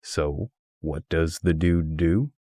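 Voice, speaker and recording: A man narrating a scripted, humorous essay as an audiobook, reading deliberately and expressively with a wry, mock-scholarly turn. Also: rumbling low, dark tone, dry recording, clean studio sound.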